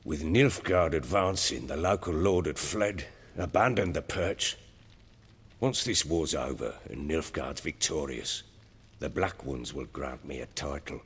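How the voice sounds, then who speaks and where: An actor playing a former soldier turned self-proclaimed baron, speaking in a slow, measured, world-weary way with a husky, low voice. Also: male voice, full character voice. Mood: grim. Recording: long hall reverb.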